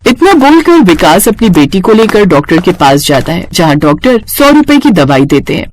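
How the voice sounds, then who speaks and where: Expressive woman narrating a story. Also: lowish voice, normal speed, no echo, poor audio.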